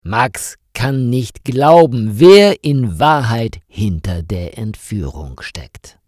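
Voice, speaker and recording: A man reading a crime story aloud as a narrator, with a clear, deliberate delivery meant for language learners. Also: mid-range voice, lively intonation, darkish tone, no room sound, studio quality.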